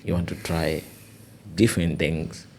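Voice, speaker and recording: A young man with a very low voice talks through his story in a casual podcast conversation. He sounds earnest and animated, and he keeps checking in with "you know" and "you get it". A faint hum of noise sits under his voice. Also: unhurried.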